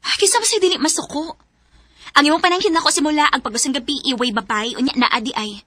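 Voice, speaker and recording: Young woman, radio drama lead, high voice, bright tone, emotional and pleading. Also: fast, no room sound, clean studio sound.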